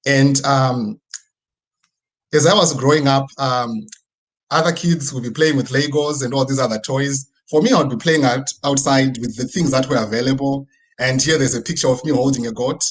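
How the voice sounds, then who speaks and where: A man's voice: medium pitch, low-quality recording. A man with a Zimbabwean accent giving a personal, motivational online presentation in a conversational way, often checking in with short tag questions. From rural Midlands region of Zimbabwe. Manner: conversational, frequent tag questions seeking agreement. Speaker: guest speaker. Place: online video presentation with screen sharing.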